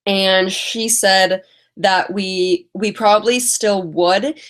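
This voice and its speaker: A woman's voice: mid-range voice, fairly even intonation, slow, a bit bright. A young woman vlogger chatting casually on a live stream, stuttering and repeating the first sounds of words.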